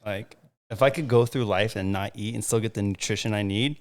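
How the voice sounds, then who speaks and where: A man's voice: lowish voice, a little fast. A man in his thirties from Southern California, a podcast guest who rambles, jokes and uses slang. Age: 30s. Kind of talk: casual podcast chat.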